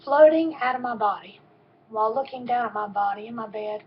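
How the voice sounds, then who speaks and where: A woman in her fifties with a soft voice, giving a personal testimony in a halting way with frequent pauses and ums. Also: on the high side. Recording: dull, lo-fi recording.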